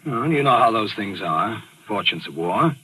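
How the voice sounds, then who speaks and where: Smug, calculating radio thriller murderer. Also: male voice, lowish voice, lively intonation, moderate pace, sharp tone, breathy edge, full character voice, rough recording. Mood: guarded, casual. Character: sly male actor, smug murderer narrating his crime. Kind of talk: 1940s radio thriller acting and first-person narration. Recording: old radio broadcast recording with music.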